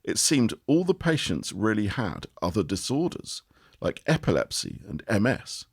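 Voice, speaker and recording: Male audiobook reader, low and expressive, dry close-mic read. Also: normal speed, husky, no room sound. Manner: expressive reading with lively rises and falls. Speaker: narrator reading an article. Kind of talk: measured audiobook narration.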